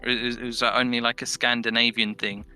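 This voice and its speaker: Young brit dude chatting casually, sweary and slangy, super bright clear voice, faint music behind. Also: male voice, mid-range voice, level tone, brisk. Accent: British. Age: young adult. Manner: sweary, slangy, blunt banter. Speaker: podcast guest. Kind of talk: casual chat.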